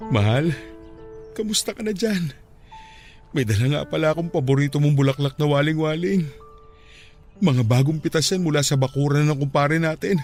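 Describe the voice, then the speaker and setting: A man's voice: fairly high pitch, moderate pace, long pauses, darkish tone, faint music behind, close to an everyday voice. An actor playing a heartbroken widowed father in a radio drama, talking tearfully, his voice breaking with grief. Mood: longing. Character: grieving widowed father, middle-aged, heartbroken and tender.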